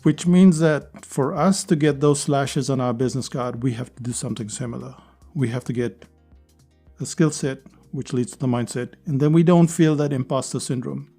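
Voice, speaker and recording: A man with a mellow, mid-pitched voice talks through his podcast on his own. He speaks in an earnest, conversational way and repeats phrases for emphasis. Faint music plays underneath. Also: moderate pace. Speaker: podcast host. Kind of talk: solo podcast monologue.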